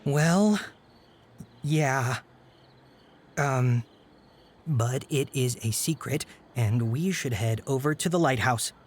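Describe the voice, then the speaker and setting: An actor playing a bumbling, scheming male vampire suitor in a comedy horror audio drama, halting at times and declaiming falsely adoring lines. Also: mid-range voice. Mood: nervous, evasive. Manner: halting, then stagily declaiming.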